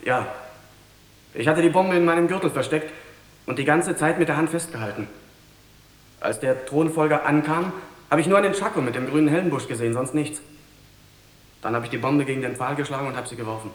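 A man's voice: fairly high pitch, a little fast, pauses often, natural voice. Man acting captured assassin confessing, echoey room. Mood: sober, factual. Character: captured male bomb-thrower giving a sober confession. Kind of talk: German radio drama acting.

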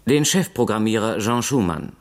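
Man playing a formal, lively-pitched low-voiced aide. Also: moderate pace, close to an everyday voice. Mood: formal, discreet. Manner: formal, polite, businesslike. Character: correct, discreet male presidential aide. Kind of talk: 1960s German radio play acting.

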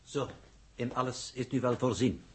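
A stiff man of few words played by an actor in an old radio play. His mid-pitched voice gives clipped, deadpan replies and shows no expression. The sixties recording has faint noise. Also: moderate pace, close to an everyday voice. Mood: impassive. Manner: deadpan, clipped short answers. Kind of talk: 1960s Dutch radio drama acting.

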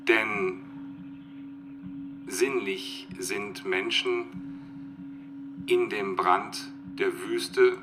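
Low-voiced, slow, halting man. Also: huge pitch swings, noisy background. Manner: halting, searching, repeats words. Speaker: literary expert being interviewed. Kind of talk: unscripted reflective explanation. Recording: music behind the voice.

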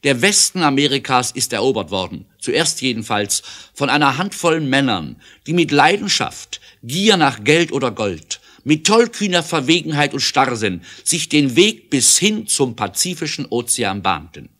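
A man's voice: medium pitch, very animated, normal speed, fairly bright tone. A man narrating a scripted adventure audio play in an emphatic, declamatory storytelling style.

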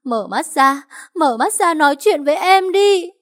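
A woman's voice: high-pitched, expressive, unhurried, fairly bright tone, voice pushed up. A woman voicing a grieving young woman who screams and pleads through sobs. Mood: anguished sobbing. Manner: wailing, sobbing, pleading. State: crying.

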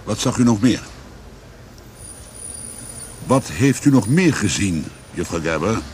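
An actor playing a stern, persistent police commissioner in a radio drama, with a measured, probing, formal manner. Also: male voice, on the low side, very animated, darkish tone. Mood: calmly insistent. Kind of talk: Dutch radio drama acting. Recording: faint background noise.

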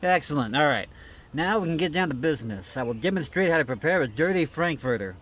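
Pompous grill-master host for comedy radio skit, played by a man: highish, very animated, exasperated instructor. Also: fast, slight background noise. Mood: brisk, instructive. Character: pompous, stubborn male backyard grill master. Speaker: show host. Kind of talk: scripted comedy skit in old-time radio show.

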